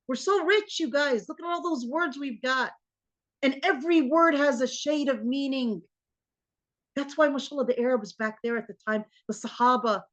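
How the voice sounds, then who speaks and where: An American woman teaching a class in a lively, animated way and often calling her students "you guys". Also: high-pitched. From United States. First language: English. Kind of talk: classroom lecture.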